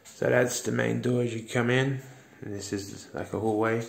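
Gravelly man giving a walking tour. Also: medium pitch, fairly even intonation, moderate pace. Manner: chatty, pointing things out as he walks. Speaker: vlogger giving a property tour. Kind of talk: casual walkthrough narration. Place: outdoors on rural land, then inside a farmhouse. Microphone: phone camera held at arm's length.

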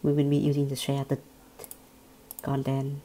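A woman with a Vietnamese accent, a native Vietnamese speaker, walking through a software screen recording in a halting way, often repeating words and restarting. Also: very deep voice, completely flat delivery, a little hiss or hum. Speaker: software tutorial presenter.

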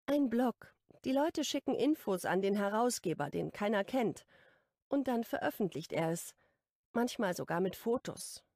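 A woman's voice: medium pitch, animated, moderate pace, darkish tone, no room sound, studio quality. A woman narrating a first-person romance audiobook in an intimate, lightly playful tone.

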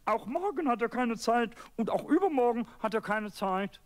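A man playing a small, defiant, cheeky kobold in a children's radio play, squeaky, stammering and insistent. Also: lively intonation, normal speed.

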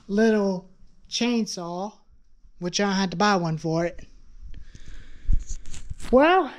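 A woman's voice: a little high, a little mellow. A woman giving a casual, hands-on show-and-tell as a small engine hobbyist, speaking in a garage workshop a few feet from the camera. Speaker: small engine hobbyist presenting own chainsaws. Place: cluttered metal-walled garage workshop.

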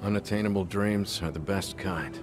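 A man voicing a weary, remorseful former director turned clone in a video game, speaking in a grave, reflective, measured way. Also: over music, lightly acted. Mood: musing, gentle. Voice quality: low, measured. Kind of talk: dramatic video game voice acting.

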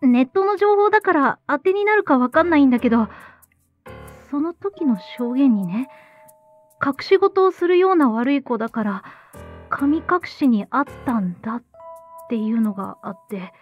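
A young actress, earnest and determined, in an audio drama. Her voice is high, her talk informal and sincere, with soft music underneath. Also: lively intonation, normal speed, highs cut off. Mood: confiding, serious. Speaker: voice actor. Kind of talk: Japanese audio drama acting.

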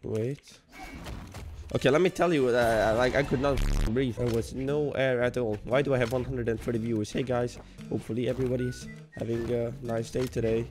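A young man from Hungary with a Hungarian accent, chatting casually and joking while he streams a game at home, reading chat aloud and bursting into excited yells. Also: medium pitch, a little fast, music in the background. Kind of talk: casual gaming livestream chat. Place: home room.

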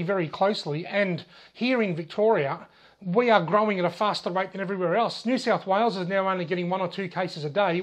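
High-voiced Australian man chatting to camera. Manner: chatty, gestures with his hands, frequent restarts. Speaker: fishing channel host. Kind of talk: casual talk to camera. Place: small indoor shed room. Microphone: lapel mic.

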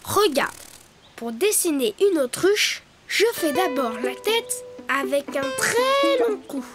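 Very high-pitched, helpful little rabbit child. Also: big pitch swings, slow, exaggerated voice. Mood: patient, explaining. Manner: clear, patient, instructive delivery to children. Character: cheerful young rabbit child who loves drawing, helpful. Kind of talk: preschool cartoon voice acting. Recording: children's music behind the voice.

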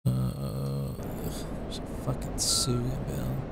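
A man's voice: mid-range voice, monotone, takes it slowly, creaky. A man who is under the weather with a scratchy voice, muttering and swearing casually through a flight simulator game. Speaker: gamer streamer. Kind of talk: casual gameplay commentary. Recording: noisy background.

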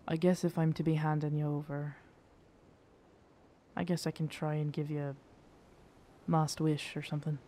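An actor playing a gruff, guarded yet tender pirate captain in an intimate ASMR roleplay, speaking haltingly. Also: mid-range voice, mellow, a little hiss or hum, natural voice. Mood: reluctant, resigned. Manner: slow, hesitant, halting, rough pirate phrasing. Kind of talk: ASMR roleplay audio drama, intimate second-person scene.

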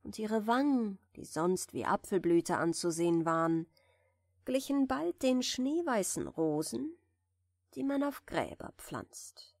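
A woman reading a fairy tale softly and soothingly as a bedtime audiobook narrator, in a measured way. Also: medium pitch, animated, dry recording, clean studio sound. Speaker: audiobook reader of fairy tales.

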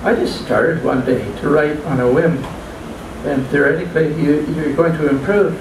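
An older man reading his own poems at an open mic in a small restaurant, halting and plain, stumbling and restarting now and then. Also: on the high side. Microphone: stand mic, filmed from a far camera. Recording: echoey room, distant mic, background hum.

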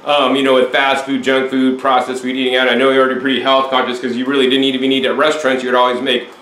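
Male host, 40s, chatty and explanatory, average pitch, echoey room. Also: monotone, quick pace, a bit bright, slight background noise. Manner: talkative, explains at length. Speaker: interviewer, show host. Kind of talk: casual interview. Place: home room with window, indoors. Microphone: lapel mic.

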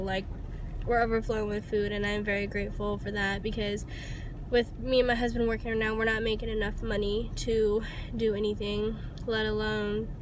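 Stuffed-up young woman in a noisy car. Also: a little high. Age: 20s. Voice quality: stuffed-up, congested. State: sick with a cold. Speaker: vlogger. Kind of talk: casual personal vlog talk. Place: inside a parked car on a rainy day. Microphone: phone at arm's length. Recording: noisy parked car, vehicle ambience.

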